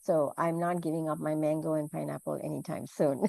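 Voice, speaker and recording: A middle-aged woman from Malaysia, a food business owner answering interview questions in a quick, chatty way with lots of "you know". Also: low voice, flat delivery, gravelly, warm tone, no room sound. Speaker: guest, food entrepreneur.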